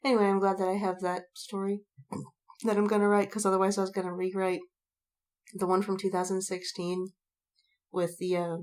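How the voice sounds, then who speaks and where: A woman's voice: medium pitch, a little fast. Woman rambling casually through a story. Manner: animated rambling storytelling with acted-out quotes and frequent swearing. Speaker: podcast co-host. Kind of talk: casual chat.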